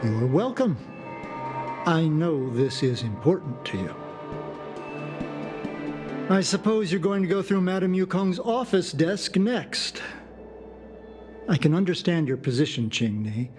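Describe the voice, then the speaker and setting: Courteous aide, lighter-pitched voice. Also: male voice, animated, a little slow, long pauses. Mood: deferential, concerned. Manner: courteous, formal. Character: polite, dutiful male aide, gently concerned. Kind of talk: video game voice acting. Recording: clean game dialogue over background music.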